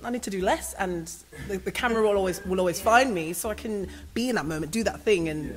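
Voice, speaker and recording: A woman's voice: mid-range voice, quick pace, low-quality recording. A woman hosting a casual panel chat, with a powerful, carrying voice, reflective and thinking aloud. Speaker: host.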